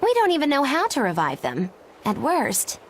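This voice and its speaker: A woman's voice: sits high, wildly swooping pitch, normal speed, slight background noise. An actress playing a smug, theatrical and mischievous young witch in a video game. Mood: knowing. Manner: theatrical, knowing. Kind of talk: animated video game voice acting.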